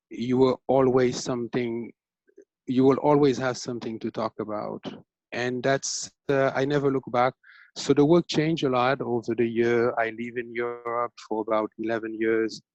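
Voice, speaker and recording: Hesitant painter from Senegal, dull call audio. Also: male voice, medium pitch, darkish tone, no room sound. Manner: hesitant, searching, many fillers. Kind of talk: casual artist talk on video call. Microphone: video call.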